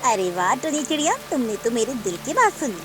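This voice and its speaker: A woman voicing a kindly older aunt bird in a cartoon story, speaking in a warm, motherly, soothing way. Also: a little high, very animated, brisk, music in the background. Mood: fond.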